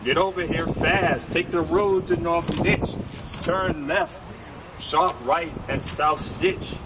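High-pitched man reading aloud, slow and theatrical. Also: steady tone. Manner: theatrical, deliberate. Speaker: storybook reader. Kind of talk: expressive read-aloud of a rhyming children's book. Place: outdoor park gathering. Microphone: microphone on a stand, filmed by a nearby camera. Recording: windy outdoor PA, distant, noisy.

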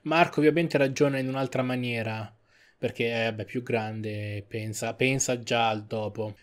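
A young man from Italy gives casual commentary as a reaction video host, thinking aloud with hesitant pauses. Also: mid-range voice, fairly even intonation, smooth. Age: young adult. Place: small indoor room at home with green screen.

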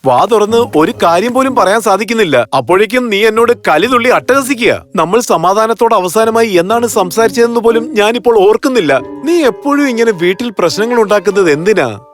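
Man playing a high-pitched, grumbling cartoon husband. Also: animated, brisk, faint music behind. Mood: irritated. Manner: exasperated, complaining. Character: weary, irritable grumbling husband back from office work.

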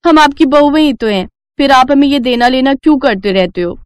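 A woman's voice: sits high, animated, fairly quick, clean tone, dry recording, studio quality. Woman playing a pushy, greedy daughter-in-law. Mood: complaining. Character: greedy, pushy elder daughters-in-law, grown women with children. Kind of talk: animated moral story dialogue.

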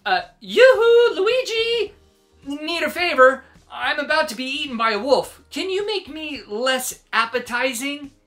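A woman's voice: high voice, big pitch swings, a little slow, a bit bright, faint music behind, voice pushed up, full character voice. A woman voicing a chatty, meddling sheep with a hesitant, wheedling manner in a children's read-aloud. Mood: excited calling. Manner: hesitant, wheedling, full of suggestions. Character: chatty, meddling, nervously cheerful storybook sheep. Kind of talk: animated children's picture-book read-aloud.

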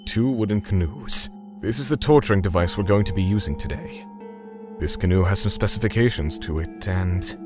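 A male actor as a righteous, menacing torturer narrating a manga story. His low voice is grim and measured, with music playing behind it. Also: natural voice. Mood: clinical. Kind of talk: scripted manga dub narration.

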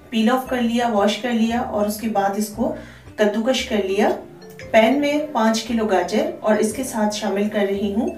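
A woman's voice: a little high, a little mellow. A woman hosting a cooking channel, giving friendly step-by-step instructions in a chatty way. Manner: friendly, chatty instructions with frequent "theek hai ji". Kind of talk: cooking tutorial voice-over. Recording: echoey, distant voice-over with faint music.

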